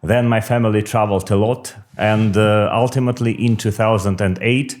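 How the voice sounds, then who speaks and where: Measured middle-aged man. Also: lowish voice, fairly even intonation, darkish tone. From Russia. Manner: measured, explanatory, with frequent filler pauses. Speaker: political candidate. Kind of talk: podcast interview.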